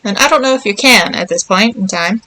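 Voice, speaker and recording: A woman's voice: medium pitch, lively intonation, fairly quick, sharp tone, rough recording. A woman chatting casually as she streams a game, rambling and thinking aloud. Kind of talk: casual livestream chat while playing.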